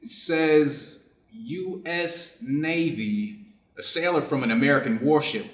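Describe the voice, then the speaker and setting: A middle-aged Japanese surgeon, read by a man in a staged story. He speaks formally and deliberately, reflective and clinical yet conflicted. The room adds a clear echo around the voice. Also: fairly high pitch. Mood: torn, cold. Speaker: reader's theater performer. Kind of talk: staged reading of a short story, measured delivery. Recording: echoey room.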